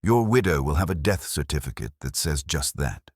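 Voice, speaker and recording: A man voicing a gruff, irritable, weary police inspector with a blunt, grumbling manner in a detective audiobook. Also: rumbling low, expressive, moderate pace, no room sound, studio quality. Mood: grudging, weary.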